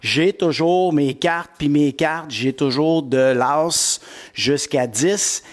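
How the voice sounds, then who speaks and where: A man's voice: on the high side, slow pace. A middle-aged man with a Québécois accent, coaching a live-streamed workout class and greeting viewers by name with lots of energy. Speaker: fitness coach.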